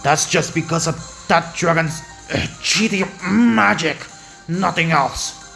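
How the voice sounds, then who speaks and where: An actor voicing a brash, hot-headed male cookie fighter, loud, impatient and scornful, in an animated fantasy fandub. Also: medium pitch, slow, gravelly. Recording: voice over background music.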